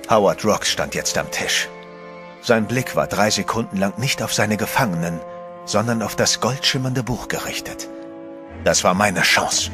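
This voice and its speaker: First-person horror narrator, man, tense delivery, low voice, music underneath. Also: normal speed.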